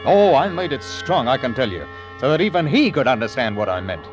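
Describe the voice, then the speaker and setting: An actor playing a stern, humane ship's captain who speaks in a firm, measured way in an old radio drama. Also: male voice, medium pitch, big pitch swings, music in the background, close to an everyday voice. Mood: grave recounting. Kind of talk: old-time radio drama narration and dialogue. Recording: dull lo-fi old radio recording.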